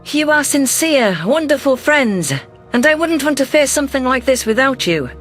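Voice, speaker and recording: Man as a female alien governor, high voice, emotional, formal, dramatic first-person storytelling, light music underneath. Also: expressive, normal speed, a bit bright. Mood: earnest, grateful.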